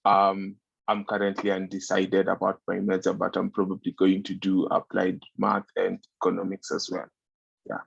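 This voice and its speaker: Young kenyan guy, super deep flat gravelly voice, dull dry call audio. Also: normal speed, no room sound. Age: young adult. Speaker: student panelist. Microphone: video call.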